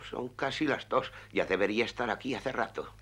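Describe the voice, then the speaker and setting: A man's voice: mid-range voice, a little fast, a bit bright, breathy edge. An actor in a Spanish cartoon dub playing a worried father who runs a puppet theatre, anxious and urgent.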